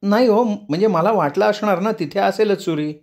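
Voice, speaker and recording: A man's voice: sits high, moderate pace, darkish tone, voice pushed up. A man voicing a frightened young woman who pleads and frets in a nervous, raised voice. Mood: anxious. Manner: fretful, pleading.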